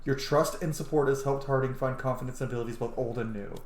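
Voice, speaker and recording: A man's voice: medium pitch, steady tone, fast. Effusive man gaming in a roomy echo. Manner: effusive, casually sweary. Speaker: gamer, roleplay streamer. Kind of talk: let's play commentary, reading game text aloud.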